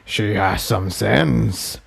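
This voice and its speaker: A man puts on a huge, ancient dragon villain for a storybook. The voice is low and gravelly, in a dry, close recording. Each phrase comes slowly and deliberately, smug and threatening. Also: no room sound, full character voice. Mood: cold menace. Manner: slow, deliberate, menacing.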